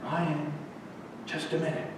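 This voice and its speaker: A man voicing a calm, gentle old woman who speaks quietly in slow, measured phrases. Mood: calm, kindly. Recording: echoey hall from far off, rumbly noise.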